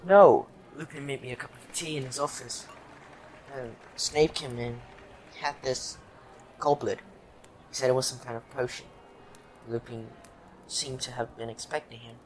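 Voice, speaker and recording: A man's voice: medium pitch, steady tone, normal speed, pauses often, slight background noise, natural voice, low-quality recording. A man playing a casual, suspicious teenage schoolboy wizard in a fan audio drama. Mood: uneasy, suspicious.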